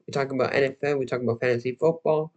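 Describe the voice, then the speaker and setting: A young man hosting a casual livestream, slightly hoarse and losing his voice, talking in a rambling, halting way full of restarts and fillers. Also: medium pitch, fairly even intonation, darkish tone, muffled recording. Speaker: livestream host.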